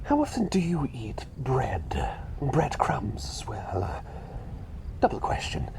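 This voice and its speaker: A man voicing a fussy, chatty little bird who is really a doctor, rambling and repeating himself politely in a hushed, breathy ASMR roleplay. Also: medium pitch, huge pitch swings, slow pace, slight background noise, heavily acted. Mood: contented, chatty. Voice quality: breathy, half-whispered.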